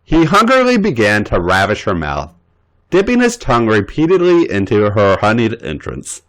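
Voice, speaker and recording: A man reading fan fiction aloud as a podcast host, narrating in an expressive way. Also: moderate pace, rough recording. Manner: voices characters' lines with big pitch jumps.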